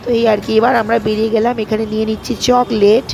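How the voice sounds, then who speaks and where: Woman, casual vlog narration, explanatory, noticeable vocal fry, slight background noise. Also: on the high side, level tone, quick pace, darkish tone, rough recording. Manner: chatty, explanatory, addressing viewers. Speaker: vlogger narrating her day. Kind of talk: casual family vlog voice-over.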